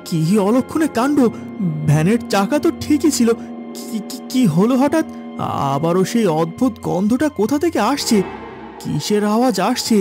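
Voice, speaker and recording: A man's voice: very high-pitched, very animated, normal speed, over music. A man playing an anxious, haunted village van driver in a horror cartoon, stammering when frightened. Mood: alarmed. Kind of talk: dramatic horror cartoon acting.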